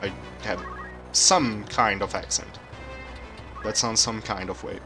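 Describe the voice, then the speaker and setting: A soft-spoken man from Brazil, a native Portuguese speaker, chatting calmly while streaming a game. His accent is hard to place and often taken for German. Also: lowish voice, slow. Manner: soft-spoken, quiet and calm. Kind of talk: casual live-stream chat while gaming. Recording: game music playing behind.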